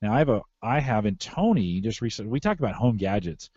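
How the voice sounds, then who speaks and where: A man's voice: on the low side, sing-song, quick pace, darkish tone, slightly rough, dry recording, dull audio. A middle-aged American man hosting a casual tech podcast, repeating phrases for emphasis. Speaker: podcast host. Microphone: close podcast microphone through mixer.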